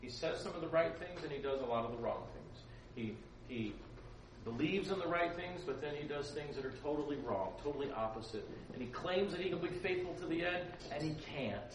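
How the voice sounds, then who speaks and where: A man preaching to a camp group, telling the story with acted-out voices and chatty asides. He sits back from the microphone in a roomy, echoing space, with steady noise behind him. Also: a little high, fairly quick. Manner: acts out voices, laughs often, chatty asides. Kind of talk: animated storytelling sermon. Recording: roomy echo, distant mic, background noise.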